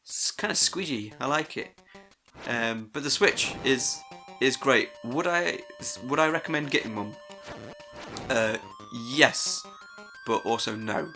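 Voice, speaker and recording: Bright-voiced man rambling casually over music. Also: mid-range voice, a little slow. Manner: hesitant, enthusiastic, informal and sweary. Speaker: host of a gaming audio log. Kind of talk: casual unscripted rambling commentary.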